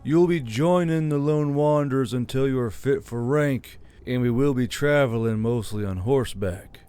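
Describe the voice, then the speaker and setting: A man's voice: mid-range voice, darkish tone, lightly acted. A man playing a weary, stern militia leader burdened by guilt in an audiobook drama.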